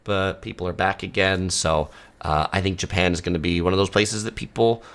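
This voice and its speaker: Male travel host, low-pitched, unhurried, filler-heavy conversational delivery, live Q&A feel. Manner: relaxed, conversational, many ums and uhs. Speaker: travel channel host answering viewer questions. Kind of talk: casual live Q&A. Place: home studio.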